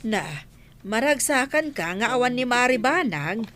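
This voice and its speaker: Woman playing a gossipy villager, slightly high voice. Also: lively intonation, a little slow, fairly bright tone. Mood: teasing. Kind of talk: comedic radio-style drama acting.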